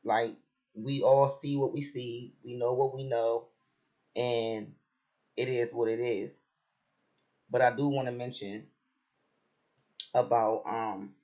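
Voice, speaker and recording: A man with a raspy voice who has just woken up, chatting casually about a TV episode with lots of fillers and restarts. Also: mid-range voice. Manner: relaxed, rambling, many fillers and restarts. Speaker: reality TV commentator.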